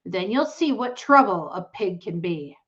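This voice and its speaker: Woman reading as measured, gentle farmer father. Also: mid-range voice, animated, dull audio. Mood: relenting. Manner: measured, patient.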